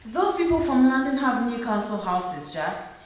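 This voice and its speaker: A woman's voice: on the high side, big pitch swings, normal speed. A woman playing a worried, poor wife and mother in a radio play, earnest and reproachful. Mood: pleading. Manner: earnest, reproachful, repeating her husband's name. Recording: very echoey room.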